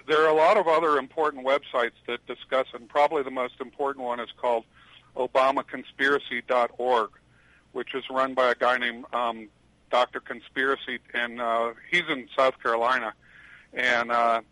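Loud, bright-voiced older man. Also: medium pitch, dry recording, rough recording. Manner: loud voice, rambling with many fillers. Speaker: guest, retired attorney. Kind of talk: radio interview answers. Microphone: headset.

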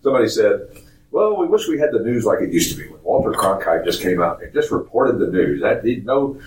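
A hoarse man with a raspy voice from a cold, hosting a casual, rambling car podcast in a studio. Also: on the low side, very animated, a little fast, a little mellow, echoey room, far from the mic, a little hiss or hum, rough recording. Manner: rambling, chuckling, with many fillers. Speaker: podcast host at a classic car dealership. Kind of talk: casual chat.

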